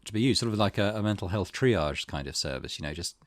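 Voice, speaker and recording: Low-voiced man with very lively intonation. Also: fast talker, dry recording, studio quality. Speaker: video game let's play host. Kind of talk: let's play reading aloud and commentary.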